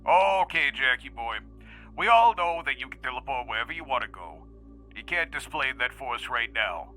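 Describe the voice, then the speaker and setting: A man's voice: mid-range voice, big pitch swings, moderate pace, sharp tone, soft background music. A man playing a breezy, informal researcher who nudges a test subject along in an audio drama. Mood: nudging. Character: brash, informal male researcher running tests on an anomaly.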